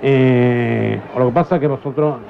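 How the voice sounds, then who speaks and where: A man's voice: medium pitch, fairly even intonation, unhurried, creaky. A man playing a bumbling fake foreign art museum director who mangles Spanish with made-up English and French words, in an improvised radio comedy sketch at an outdoor fair. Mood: silly. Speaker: comic guest. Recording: lo-fi live recording with crowd. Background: crowd noise.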